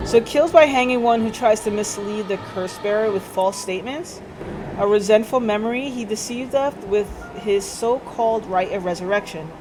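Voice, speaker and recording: A woman's voice: medium pitch, normal speed, background noise. A woman streamer reading visual novel text aloud on a livestream. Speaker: game streamer.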